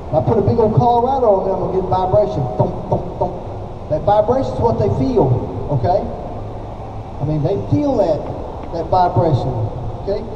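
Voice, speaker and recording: An older man from Oklahoma, a folksy, emphatic pro angler giving a live seminar and often checking in with his listeners. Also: high voice. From Oklahoma, United States. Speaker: professional angler giving a demonstration. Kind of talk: live fishing seminar to an audience. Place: large indoor exhibition hall. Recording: echoey hall from far off, dull, noisy.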